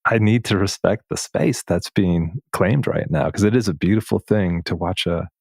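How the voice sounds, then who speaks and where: A man with a low voice, recorded dry and close with no room sound. He speaks as a podcast host in a reflective, conversational way, pausing as he searches for the right phrasing. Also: gravelly, a little mellow, slightly rough, dry recording. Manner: thoughtful, searching phrasing with pauses.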